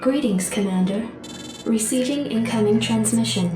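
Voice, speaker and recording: A woman's voice: a little high, normal speed, recorded from a distance, close to an everyday voice. Actress as female military adjutant, formal, clipped, game briefing, strong echo, music behind. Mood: formal, neutral. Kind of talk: video game briefing announcer.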